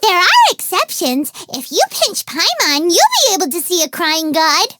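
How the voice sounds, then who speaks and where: A woman voicing a tiny childlike fairy companion in a video game, squeaky, bubbly, boastful and naive. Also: moderate pace, full character voice. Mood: proudly boastful. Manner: refers to self in third person, exclamatory, excitable. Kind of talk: video game character voice lines.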